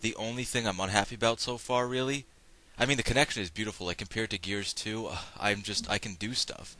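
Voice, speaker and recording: A man giving rambling, casual running commentary while playing an online shooter, his voice slightly raspy and hoarse from a cold, often breaking off mid-thought to react to the action. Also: lowish voice, fast, low-quality recording. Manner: rambling, self-interrupting, bursts of excitement at game action. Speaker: gameplay commentator.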